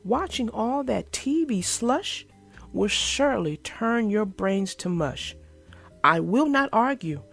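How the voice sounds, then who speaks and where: A woman voicing a firm, sensible mother bear in a soft, measured way. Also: on the high side, animated, vocal fry, faint music behind, full character voice.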